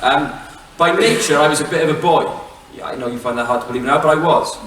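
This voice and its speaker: A middle-aged Welsh man giving a relaxed public talk, chatty and self-mocking, with plenty of fillers and restarts. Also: on the high side, fast, slight background noise. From Wales. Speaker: head teacher giving a talk. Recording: echoey hall, mic from far off.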